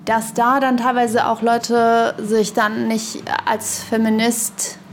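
A woman's voice: a little high, level tone, slow. Woman thinking aloud over background music. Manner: searching, rambling, trails off mid-thought. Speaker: podcast co-host. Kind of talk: casual podcast discussion.

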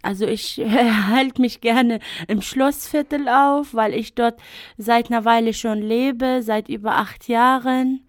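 A woman whose first language is Arabic talks in a radio interview, her voice sitting a little high. She speaks thoughtfully, pausing with fillers. The sound is dry and close. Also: fairly even intonation, dry recording. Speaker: interview guest. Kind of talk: radio interview, personal account.

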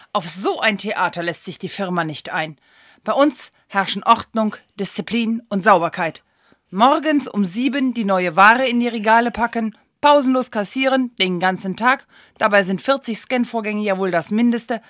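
A woman's voice: medium pitch, normal speed, fairly bright tone, no echo, natural voice. Woman as a bossy sales manager, dry sound. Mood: haughty. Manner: commanding, haughty. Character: stern, bossy, ruthless female discount-store sales manager. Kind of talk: German radio play acting.

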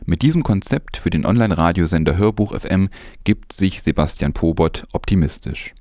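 A man's voice: sits low, animated, normal speed, a little mellow, no room sound, studio quality. A man reading scripted news as a presenter.

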